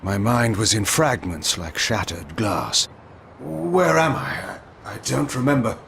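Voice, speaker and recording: An actor voicing a proud, sardonic male vampire warlord in a video game, cold and imperious, with a theatrical, deliberate delivery. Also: on the low side, animated, vocal fry, roomy sound, a crowd in the background. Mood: disoriented, guarded. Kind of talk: scripted video game cutscene acting.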